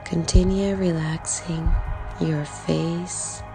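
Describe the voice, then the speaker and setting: A woman leading a guided body scan meditation in a calm, soothing way, speaking in short soft phrases with long pauses and a breathy edge. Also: lowish voice, poor audio. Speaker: meditation guide, life coach. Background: background music.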